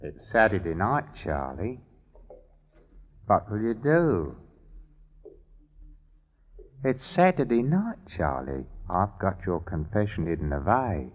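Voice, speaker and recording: Slow, low-voiced, menacing prisoner. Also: male voice, exaggerated voice. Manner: slow, deliberate, insinuating. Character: slow, simple jailed man, quietly menacing. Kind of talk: 1950s radio drama acting. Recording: dull lo-fi 1950s radio recording.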